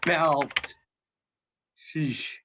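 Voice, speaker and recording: A woman playing a low-voiced, earnest young writer. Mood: narrating. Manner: narrates haltingly, easily flustered. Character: earnest, exasperated kid writing a story. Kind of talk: radio play acting. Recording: noisy recording with clicks.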